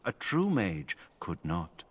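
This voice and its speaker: Fantasy game mage, very low pitch, emotionless manner, formal, measured, swooping intonation. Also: male voice, wildly swooping pitch, breathy edge, full character voice. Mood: detached, formal. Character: stoic, emotionless male mage who enchants weapons. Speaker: game character. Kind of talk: scripted fantasy game acting.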